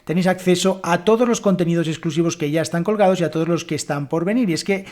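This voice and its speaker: A Spanish man from Spain teaching his language on a solo podcast, close to the mic. His voice sits a little high for a man, and he talks in a loose, chatty way, full of fillers and restarts. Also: fast, darkish tone.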